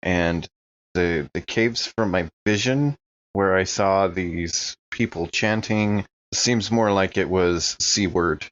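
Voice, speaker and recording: A man talks casually as a player in an actual-play game. His voice sits fairly low. The recording is slightly muffled and lacking in top end. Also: slow pace. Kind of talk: casual in-character discussion. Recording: slightly dull, muffled sound.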